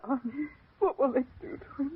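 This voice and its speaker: Actress as a very high, tremulous young woman. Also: fairly even intonation, normal speed, close to an everyday voice. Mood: anguished. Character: distraught young woman, pleading and fearful. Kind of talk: 1940s radio horror melodrama. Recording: tinny noisy old radio recording.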